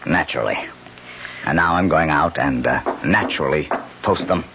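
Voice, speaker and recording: Very deep-voiced, sinister lodger. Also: male voice, normal speed. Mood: sly, mocking. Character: sly, sinister male lodger, a murder suspect. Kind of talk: old-time radio drama acting. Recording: muffled, noisy old radio recording.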